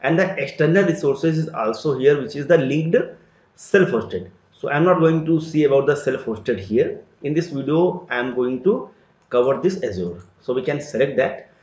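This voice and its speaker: A man teaching a screen-recorded software tutorial, explaining each step as he clicks through an interface. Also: medium pitch, lively intonation, normal speed. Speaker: tutorial instructor. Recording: roomy, dull lo-fi sound.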